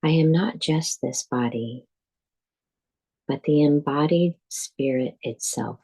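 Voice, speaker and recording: A woman guiding a yoga nidra meditation as a teacher, speaking calmly and deliberately in measured phrases with pauses. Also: low-pitched, breathy edge. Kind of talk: guided meditation, scripted instruction.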